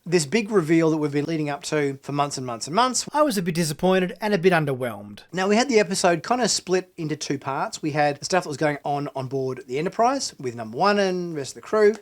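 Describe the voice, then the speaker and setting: A hoarse man hosting a sci-fi channel, giving a casual spoken TV review with lots of fillers and restarts. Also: a little high. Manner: chatty, hesitant with frequent fillers and restarts. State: hoarse from illness.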